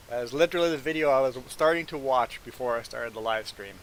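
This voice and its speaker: A man with a mid-pitched voice chats casually as a live streamer. He sounds a little sniffly and rambles along in a conversational way. A faint hiss sits behind his voice. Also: brisk, low-quality recording. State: sniffly nose from congestion. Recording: steady background hiss.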